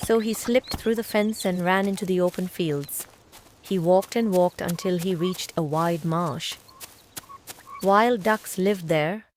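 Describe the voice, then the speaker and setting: A woman's voice: on the low side, measured pace, slight background noise. Woman narrating a children's story.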